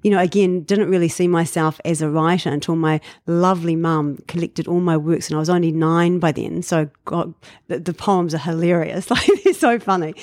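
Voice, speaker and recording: A New Zealand woman in her fifties, telling her life story in a relaxed interview, reflective with frequent fillers and light laughs. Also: lowish voice, normal speed, few pauses, no echo. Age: 50s. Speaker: guest. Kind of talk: casual interview chat.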